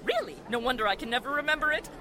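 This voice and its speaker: A woman's voice: high voice, expressive, very fast, sharp tone, a faint crowd. A woman playing a middle-aged, scatterbrained society mother with an effusive, theatrical, chattering manner in a 1940s-style radio drama. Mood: chattering, hostessy.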